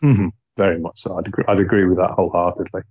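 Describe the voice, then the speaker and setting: A man's voice: low voice, fairly even intonation, fast talker, darkish tone, husky, no room sound, dull audio. A man with a Yorkshire accent, a guest on an interview show, talking about his life in a reflective way with many hesitations. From England, Leeds. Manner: reflective, frequent filler hesitations. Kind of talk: casual interview talk.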